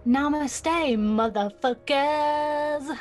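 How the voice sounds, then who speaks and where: A woman's voice: sits high, very slow, soft background music. An actress playing a sulky, defiant nineteen-year-old girl in an audio play, blunt and slangy. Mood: cheeky. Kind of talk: audio theatre acting.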